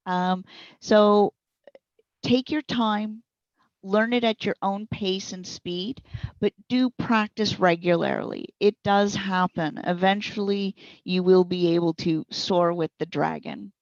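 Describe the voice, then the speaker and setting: A woman's voice: mid-range voice, slow, dry recording, highs cut off. A woman working as a trainer, giving practical, step-by-step explanations with examples in an interview. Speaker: speech recognition consultant and trainer.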